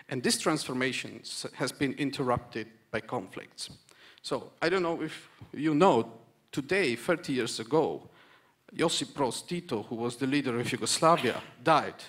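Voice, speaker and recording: A man addresses a conference panel in English. He hammers home his points with repeated phrases and stumbles into the occasional restart. His voice sits at an ordinary, middling pitch. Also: a bit bright. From Romania. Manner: earnest, repetitive emphatic phrasing with hesitant restarts. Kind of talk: conference speech.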